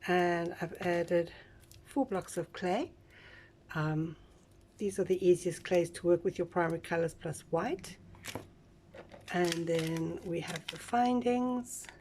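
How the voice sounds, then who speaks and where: A woman with a slightly croaky voice, giving a chatty, relaxed step-by-step craft tutorial with small asides. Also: fairly low pitch, takes it slowly, pauses often, a little hiss or hum. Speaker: craft instructor.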